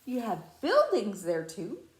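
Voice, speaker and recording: A woman's voice: medium pitch, wildly swooping pitch, slow, roomy sound. A woman voicing a kindly, earnest, protective male elephant in a storybook, with emphatic, pleading delivery. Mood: amazed, reassuring.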